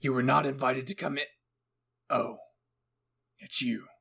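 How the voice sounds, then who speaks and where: A man acts out a stern adult army captain for an audio story. He is grumpy and curt, giving cold, clipped replies in a creaky voice. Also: medium pitch, steady tone, measured pace, fairly bright tone, exaggerated voice.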